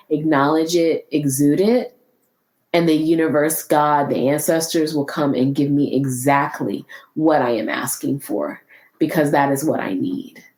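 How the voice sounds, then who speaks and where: Low-voiced congested woman, earnest podcast host. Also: darkish tone, reverberant. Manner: earnest, encouraging, repeats herself. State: congested, nasal. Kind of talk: solo motivational podcast talk.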